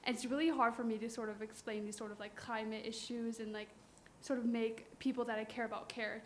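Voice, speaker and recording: A young woman in the audience asks a question, tentative and full of fillers. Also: fairly high pitch. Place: college lecture hall. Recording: faint background noise.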